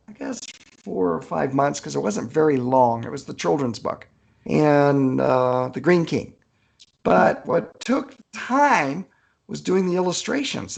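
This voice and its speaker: A man with a gravelly, husky voice chatting casually as a guest author, folksy and good-humored in his storytelling. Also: fairly high pitch.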